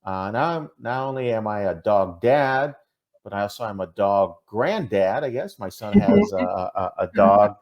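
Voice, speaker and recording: A man's voice: fairly low pitch, sing-song, moderate pace, highs cut off, over a noisy crowd. A man with a Minnesotan accent, a podcast guest talking casually and hesitantly, with many fillers and restarts. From Minnesota, USA. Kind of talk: casual interview talk.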